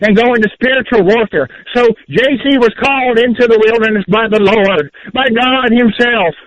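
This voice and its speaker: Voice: mid-range voice, moderate pace. A caller with a grating voice ranting theatrically in an indignant, pompous, preachy way, speaking in the third person over a phone line. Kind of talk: theatrical fire-and-brimstone rant in the third person. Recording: dull lo-fi phone call.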